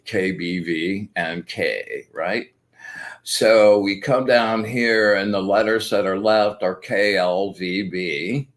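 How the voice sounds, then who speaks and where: A man hosting a casual spiritual talk, rambling and enthusiastic, full of fillers and restarts, walking his viewers through his methods step by step. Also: deep voice. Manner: explains step by step. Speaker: host.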